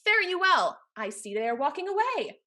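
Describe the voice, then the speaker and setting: An actress playing a capricious, teasing young society heiress in a Restoration stage comedy, with a haughty, playful, theatrical delivery. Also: female voice, high voice, very animated, moderate pace, bright, forceful tone, full character voice. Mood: imperious, flippant.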